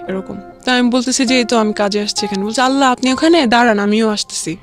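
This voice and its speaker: A woman's voice: a little high, fast. Young Bangladeshi woman over background music. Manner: recounts with quoted dialogue. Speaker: guest telling a personal life story. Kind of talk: casual conversational storytelling.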